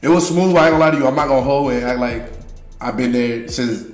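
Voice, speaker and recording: New York guy, French first language, quick loose street talk, echoey room. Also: mid-range voice, faint music behind. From Brooklyn, New York. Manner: loose, streetwise, many fillers. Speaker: guest. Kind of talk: casual chat. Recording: roomy echo.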